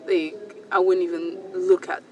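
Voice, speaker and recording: A woman's voice: on the low side, flat delivery, unhurried, far from the mic, dull audio, slight background noise. A woman in her thirties from Ethiopia, telling a personal story hesitantly, with many fillers and restarts. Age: 30s. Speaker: storyteller sharing a personal account. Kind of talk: personal testimony. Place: small recording booth.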